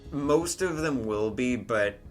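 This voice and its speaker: A man's voice: medium pitch, lively intonation, slow pace, soft background music. A man narrating a tabletop game as game master in a casual, improvised way, often checking in with his listeners.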